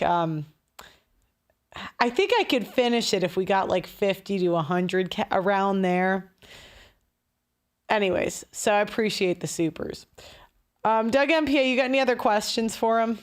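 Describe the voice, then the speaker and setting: A woman hosting a live call-in stream, talking casually with fillers like "like" and "um". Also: on the high side. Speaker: host.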